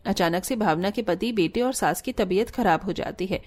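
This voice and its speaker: A woman reading scripted narration for an animated family story. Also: mid-range voice, moderate pace.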